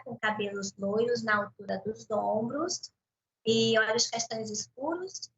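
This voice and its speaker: A woman's voice: a little high, dull audio. A woman hosting a virtual panel, introducing a guest and giving a spoken audio description of her on a video call. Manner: warm, describes the guest aloud for blind viewers. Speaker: event host.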